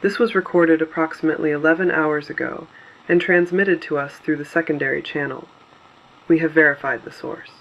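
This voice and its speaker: A gravelly actor as a male announcer of an underground resistance broadcast, his voice a little high. He is solemn, guarded and deliberate, delivering short statements in a dystopian audio drama. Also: steady tone, fairly bright tone, lo-fi sound, slight background noise. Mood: solemn, ominous. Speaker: broadcast host. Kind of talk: scripted dystopian broadcast narration.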